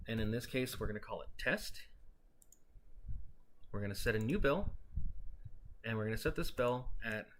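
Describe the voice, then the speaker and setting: Man giving a casual software walkthrough. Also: medium pitch, slight background noise. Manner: explanatory, conversational, instructional. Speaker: software tutorial presenter.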